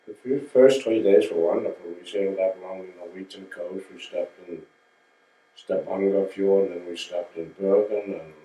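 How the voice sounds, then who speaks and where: Older man from Denmark, very low voice, mellow tone, plain storyteller, roomy recording from afar. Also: recorded from a distance. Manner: slow, plain storytelling with restarts and self-corrections. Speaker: interviewee. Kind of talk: oral history recollection.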